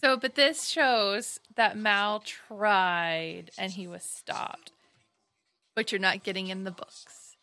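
Bright-voiced woman podcast co-host. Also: medium pitch, fairly even intonation, slow, no echo. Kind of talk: casual fan podcast chat.

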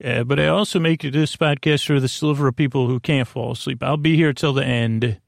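A man with a creaky, gravelly voice hosts a sleep podcast, gently and reassuringly rambling in a meandering, halting monologue that trails off mid-thought. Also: medium pitch, fairly even intonation, dry recording, clean studio sound. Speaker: podcast host.

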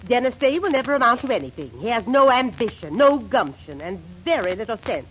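An actress playing a domineering, scornful middle-aged mother and landlady in a 1940s radio comedy, sharp and disapproving. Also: a little high, sing-song, a little fast. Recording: faintly noisy 1940s radio recording.

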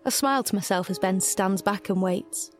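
A woman's voice: medium pitch, normal speed, close to an everyday voice. A woman playing a reflective, wry young heroine, narrating her own story intimately in an audio drama. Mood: wry, assured. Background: music.

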